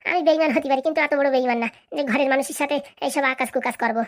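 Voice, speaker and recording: A woman's voice: sits high, racing, close to an everyday voice. A woman voicing a bossy, scheming village housewife in a comic cartoon, with brash, scolding, rustic speech. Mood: scheming, wary.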